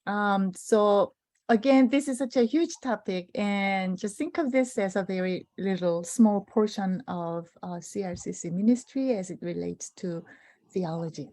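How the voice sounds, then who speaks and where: A woman introducing a webinar talk and sharing her screen, speaking in a gentle, measured way. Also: medium pitch. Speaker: webinar presenter.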